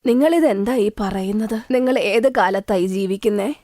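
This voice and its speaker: A woman voicing a middle-aged mother who speaks softly and apologetically. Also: mid-range voice, normal speed, no echo, studio quality, natural voice. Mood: sorrowful. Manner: soft, apologetic.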